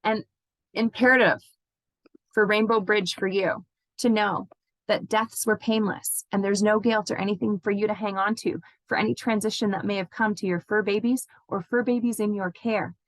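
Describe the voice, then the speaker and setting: A woman giving a spiritual card reading as an intuitive healer, speaking in gentle, reassuring, halting phrases. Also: mid-range voice, no room sound, low-quality recording. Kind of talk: spiritual card reading addressed to named listeners.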